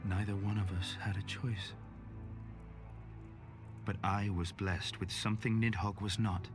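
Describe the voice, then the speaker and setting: A man playing an exhausted, grieving dragon-slaying knight in a video game, speaking solemnly in archaic phrases with a weary, breathy delivery. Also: lowish voice, level tone, natural voice. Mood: weary, sorrowful. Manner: slow archaic, solemn speech. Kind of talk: dramatic video game acting. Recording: orchestral game music behind.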